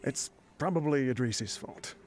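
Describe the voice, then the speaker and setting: A man's voice: medium pitch, moderate pace, darkish tone, a little hiss or hum, lightly acted. A man playing an ailing old mercenary leader in a video game, wry, boastful and fatherly as he voices a letter. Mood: wry, reflective. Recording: game music behind the voice.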